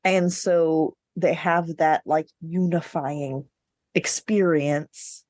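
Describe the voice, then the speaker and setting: A woman's voice: sits low, fairly even intonation, drawn-out words, a little mellow, dry recording. A woman co-hosting a podcast, chatting casually about books in a playful, emphatic way. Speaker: podcast co-host discussing books. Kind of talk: casual book chat.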